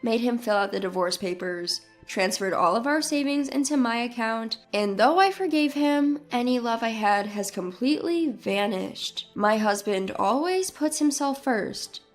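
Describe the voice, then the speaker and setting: High-voiced woman narrating a story over music. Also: expressive, a little slow, few pauses. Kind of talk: scripted first-person story narration. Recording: music behind the voice. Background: background music.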